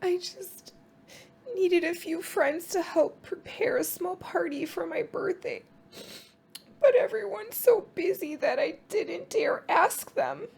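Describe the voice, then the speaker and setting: A woman's voice: extremely high pitch, slow, gravelly, a little mellow, a little hiss or hum, pitched above the performer's own voice, full character voice, rough recording. A woman voicing a timid, sad little female hedgehog in a storybook, shy, hesitant and plaintive. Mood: sad, apologetic.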